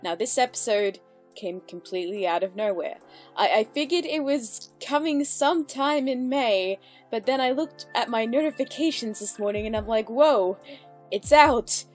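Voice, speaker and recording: A woman's voice: on the high side, lively intonation, moderate pace. A woman giving a chatty, enthusiastic, casual reaction commentary as host of her video. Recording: music behind the voice.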